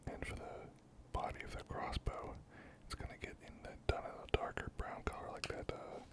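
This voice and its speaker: A person whispering softly and breathily in a casual, unhurried ASMR craft narration, murmuring step by step. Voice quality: soft, breathy, unvoiced whisper. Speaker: host of a miniature painting ASMR channel.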